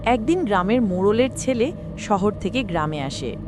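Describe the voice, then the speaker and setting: A woman's voice: mid-range voice, expressive, normal speed, music in the background. A woman narrator reading a scripted horror story.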